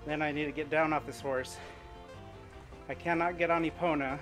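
A middle-aged man streaming a game while cycling at home, chatting upbeat and thinking out loud. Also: a little high, fairly even intonation, moderate pace, faint music behind. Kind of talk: casual gaming commentary while cycling. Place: home room with indoor bike.

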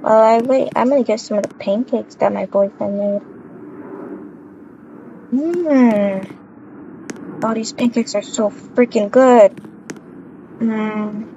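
Woman acting as doting young mother, game roleplay, unhurried delivery, mellow tone, muffled noisy mic. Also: on the high side. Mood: relaxed, sleepy. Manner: soft cooing baby talk, unhurried. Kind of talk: casual improvised game roleplay. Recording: muffled, noisy microphone with clicks.

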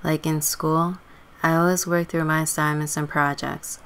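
A woman's voice: low-pitched, flat delivery, normal speed, fairly bright tone, clear, resonant tone. A woman playing a stubborn, sardonic teenage runaway girl with a dry manner in a scripted game story. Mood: polite, eager.

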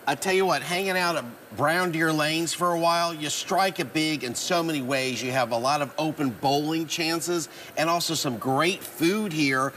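A man's voice: on the high side, normal speed, few pauses, bright, forceful tone, slight background noise. A middle-aged man reporting for a local TV feature from a bowling alley, upbeat and playful, laughing between questions. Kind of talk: on-location feature interview.

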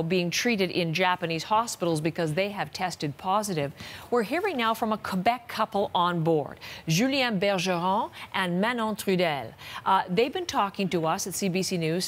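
A middle-aged woman anchoring the news from a studio, composed and clear. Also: mid-range voice. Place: television studio.

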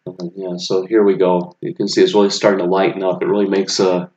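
Deep flat-voiced man, rambling narration. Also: fast talker, highs cut off. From United States. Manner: relaxed, rambling, many fillers and restarts. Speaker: vlogger showing his apartment renovation. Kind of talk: casual narration over photos.

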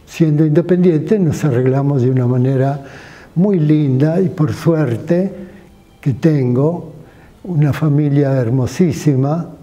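An older man with an Argentine accent, a sculptor reflecting slowly in an interview, pausing often with hesitation fillers, recorded in his studio. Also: a little high, dark tone. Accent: Argentine Spanish.

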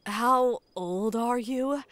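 A woman's voice: on the high side, extremely slow, very noisy. A woman playing a tough, bossy sky-pirate captain, commanding and sardonic, in a motion comic. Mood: wary. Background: background music.

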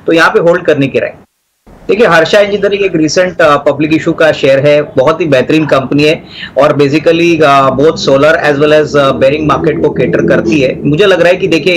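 A middle-aged man, a market analyst on a business TV channel, giving steady stock advice and mixing in English terms. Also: a little high, a little hiss or hum, low-quality recording. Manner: steady advisory tone, Hindi mixed with English terms.

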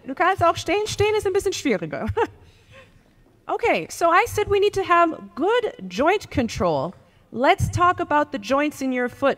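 A young woman fitness coach giving a live workshop talk to a seated audience on a handheld mic, lively, laughing often and checking in with her listeners. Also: high voice. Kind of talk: live workshop talk, mixing English and German. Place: large gym hall with a seated audience. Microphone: handheld microphone. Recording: long hall reverb.

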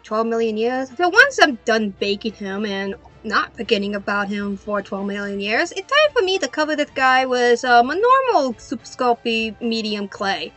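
A young adult woman narrating a craft video as host in a playful, animated, self-mocking way. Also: fairly high pitch.

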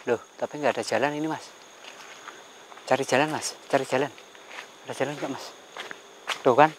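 A man's voice: mid-range voice, moderate pace, slight background noise, rough recording. Man calling out to spirits. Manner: calls out to spirits, reciting prayers, calm commands. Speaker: spiritual medium on a ghost hunt. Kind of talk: night ghost-hunting vlog. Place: outdoors in dark bamboo forest at night.

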